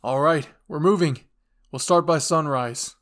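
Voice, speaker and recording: A stern military commander acted by a man in an anime fan drama. He projects his clipped words loudly, like orders to troops, with authority in every phrase. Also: on the high side, unhurried. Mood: commanding.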